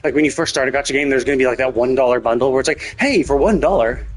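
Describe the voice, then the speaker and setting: A man's voice: mid-range voice, racing. A man giving casual, opinionated live-stream commentary on a game, rambling and often tagging on "right?". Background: game music.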